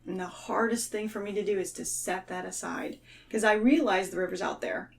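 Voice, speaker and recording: Female, mid-pitched, casual personal chat, recorded some way off the mic. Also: a little fast, vocal fry, distant mic.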